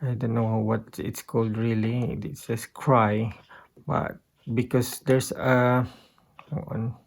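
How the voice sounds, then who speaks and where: Male, Filipino accent, casual gear-tutorial presenter. Unhurried, halting delivery, mid-pitched with a creaky edge. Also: little pitch movement. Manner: halting, thinking aloud while pointing out settings. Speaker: guitarist giving a gear tutorial. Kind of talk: casual unscripted demonstration.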